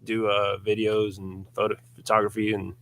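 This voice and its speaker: Man, restaurant owner guest, easygoing, gravelly, lowish pitch. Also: monotone, normal speed, fairly bright tone. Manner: easygoing, short agreeing replies. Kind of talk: casual radio interview. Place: small local radio studio.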